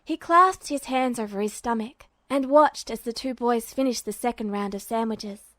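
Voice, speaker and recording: A woman's voice: a little high, moderate pace, fairly bright tone, dry recording. A woman narrating a scripted story as an audiobook-style reader. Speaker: podfic narrator.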